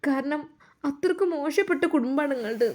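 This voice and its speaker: A woman voicing an anxious young pregnant wife, high-pitched and pleading. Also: animated, normal speed, darkish tone. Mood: distraught.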